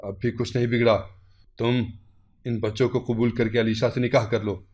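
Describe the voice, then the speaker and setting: A man voicing a stern, disappointed older uncle in an audio story, grave, reproachful and measured. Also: medium pitch, flat delivery, darkish tone, natural voice. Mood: firm.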